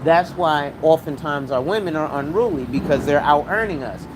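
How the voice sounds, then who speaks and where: A man's voice: on the high side, normal speed. A man, streaming live on a city street and talking in a blunt, slangy, profane, rambling way. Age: 40s. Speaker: financial advisor, live streamer. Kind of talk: casual live-stream talk. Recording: street noise around.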